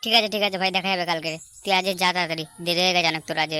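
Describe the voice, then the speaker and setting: A man voicing a young male tea seller in an amateur comedy cartoon, grumbling and complaining, quick to take offence. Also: sits high, steady tone, pushed and bright, smooth. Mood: grudging.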